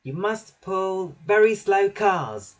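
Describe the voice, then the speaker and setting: A man's voice: high-pitched, animated, takes it slowly, voice pushed up. A man voicing an eager, self-important little male steam engine for a children's storybook, bossy and earnest.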